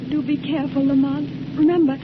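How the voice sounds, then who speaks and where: Vintage radio heroine actress, devoted and fretful, high voice, muffled noisy recording. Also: female voice, level tone, a little fast, close to an everyday voice. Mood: worried. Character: anxious, devoted lady companion of the detective. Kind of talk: 1930s radio drama acting.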